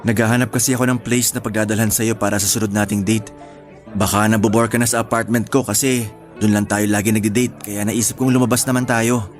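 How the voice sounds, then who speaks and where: A man playing a quiet, gentle homebody in radio drama dialogue, talking in a casual, matter-of-fact way. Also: fairly low pitch, flat delivery, a little fast, close to an everyday voice. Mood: easygoing. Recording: faint music under dialogue.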